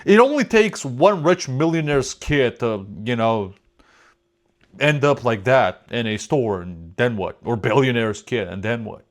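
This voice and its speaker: Casting: European man hosting a reaction video, rambling and profane, not a native English speaker, mid-pitched. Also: expressive, unhurried. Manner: rambling, swears freely, trails off. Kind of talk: casual profane commentary.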